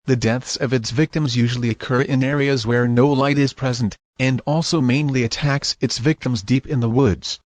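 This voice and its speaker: A male announcer with a mid-pitched voice reads scripted horror-style news and emergency alerts. The sound is dry and close, with no room reflections. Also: steady tone, normal speed, hardly any pauses, dry recording.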